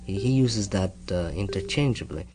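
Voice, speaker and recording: A man explaining terms off the cuff in a hesitant, halting, scholarly way. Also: sits low. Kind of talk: unscripted explanation in an archival talk. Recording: clear music laid under speech.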